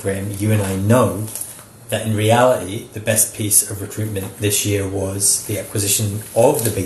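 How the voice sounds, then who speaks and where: A man hosting a podcast, wrapping up the show in a measured and courteous way and thanking his co-hosts and listeners. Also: fairly low pitch. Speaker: podcast host. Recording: roomy echo with faint background noise.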